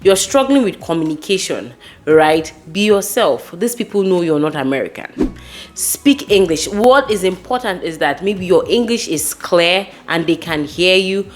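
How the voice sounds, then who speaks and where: A woman's voice: on the low side, expressive, a little slow. A woman from Nigeria with a Nigerian accent gives casual advice to viewers as a host, speaking emphatically and repeating key words. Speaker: host giving career advice.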